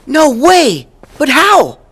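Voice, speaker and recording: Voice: high-pitched, wildly swooping pitch, drawn-out words, a bit bright, exaggerated voice. An actor playing a plucky, earnest teenage boy hero in a video game, with a headstrong manner. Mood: determined, puzzled.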